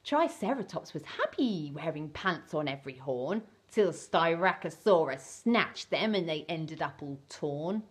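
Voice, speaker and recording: A woman's voice: deep voice, big pitch swings, a little slow, hardly any pauses, a little hiss or hum. A middle-aged woman reading a children's storybook as an entertainer, with a playful, exaggerated, theatrical delivery. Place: small indoor set with fabric backdrop.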